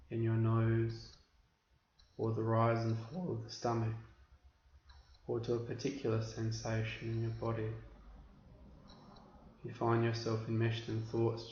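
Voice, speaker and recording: Male meditation teacher, very monotone delivery, measured and instructional, captured in an echoey space. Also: medium pitch. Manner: measured, instructional, with deliberate pauses. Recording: roomy, dull recording with background hiss.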